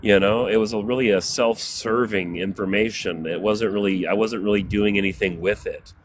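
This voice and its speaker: A man speaking as a guest on a livestream, thoughtful and halting, stopping often to rephrase. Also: lowish voice, fairly even intonation, a little hiss or hum. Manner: thoughtful, halting, many "you know" fillers. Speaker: guest panelist. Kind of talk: reflective casual talk.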